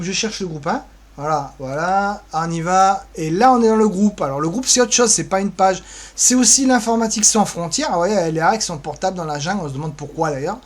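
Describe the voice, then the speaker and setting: A man with a fairly high voice gives a casual, improvised walkthrough of what is on his screen. He thinks aloud in a rambling, offhand way, with a flippant streak. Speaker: co-presenter giving a guided tour of social media pages.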